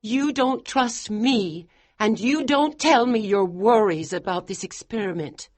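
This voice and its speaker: A man's voice: high-pitched, measured pace, voice pushed up. Man doing a pleading devoted young wife.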